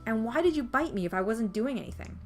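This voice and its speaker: A woman's voice: on the high side, lively intonation, fast, soft background music. A woman voicing a blunt, easily annoyed young protagonist in a testy manner. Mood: annoyed, serious.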